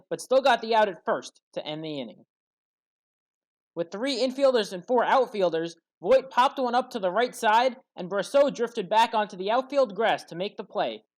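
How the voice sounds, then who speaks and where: A woman commentator reading a scripted play-by-play sports recap in a factual, orderly way. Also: medium pitch.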